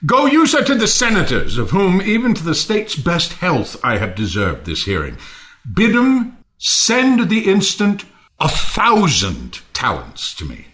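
A man playing a proud, generous, naively trusting Athenian lord in a Shakespeare audio play, declaiming theatrically with sudden hushed drops. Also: high voice, wildly swooping pitch, a little slow, heavily acted. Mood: confident, commanding.

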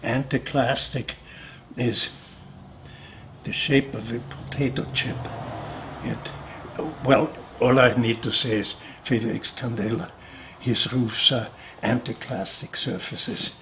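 An older man talks very slowly and deliberately, pausing and restarting as he explains his ideas. His voice sits in a middle pitch, and steady background noise hums under it. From Austria. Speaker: sculptor. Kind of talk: reflective interview answers. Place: indoor studio room.

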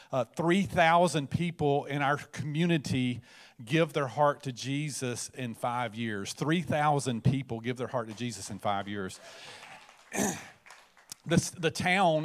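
A man from Alabama, a pastor telling his story in an earnest way before introducing a guest, speaking into a handheld mic in a church. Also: medium pitch. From Alabama, USA. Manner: storytelling, earnest, many fillers. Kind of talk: testimony and introduction. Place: church stage, indoors.

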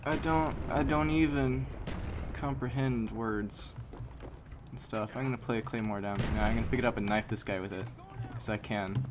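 A man's voice: medium pitch, normal speed, background noise. Man commentating a shooter game over gunfire. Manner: chatty, yelling at the game in bursts. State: voice getting strained from yelling. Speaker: gameplay commentator. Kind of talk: live gameplay commentary. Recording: home mic under loud game gunfire.

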